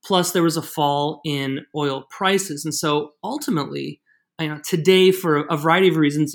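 A man who is the guest on an interview podcast, explaining at length with many restarts. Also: a little high. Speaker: guest expert.

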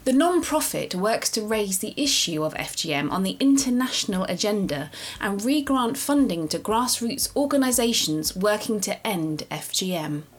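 A woman with a British accent hosting a podcast, reading a scripted introduction in a measured, formal way. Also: mid-range voice, sing-song. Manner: measured, formal read delivery. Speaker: podcast host.

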